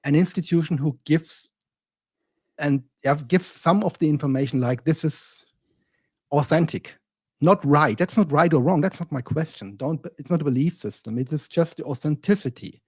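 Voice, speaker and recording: Guy co-hosting a podcast, dark husky voice, pushes his point, dry. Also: on the high side, moderate pace, no echo. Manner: argues a point, acts out quoted remarks. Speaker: podcast co-host. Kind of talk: casual discussion.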